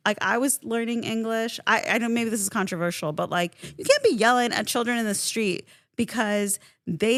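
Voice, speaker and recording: Woman, native Farsi speaker, gravelly touch, casual animated podcast chat. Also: mid-range voice, no room sound, clean studio sound. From Iran. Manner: chatty, animated, laughs often. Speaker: podcast co-host.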